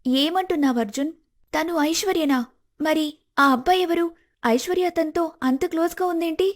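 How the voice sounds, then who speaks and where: A woman voicing a suspicious, protective young woman in an animated moral story, earnest and probing. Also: extremely high pitch, normal speed, voice pushed up. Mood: surprised, suspicious. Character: suspicious, protective young woman helping her male friend.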